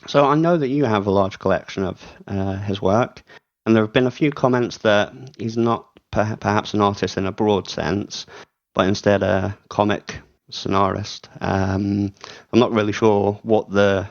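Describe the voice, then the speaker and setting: A man's voice: lowish voice, fairly even intonation, normal speed, gravelly. A man hosting a casual interview, asking long questions with plenty of fillers. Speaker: host. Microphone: remote voice chat. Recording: dull lo-fi audio.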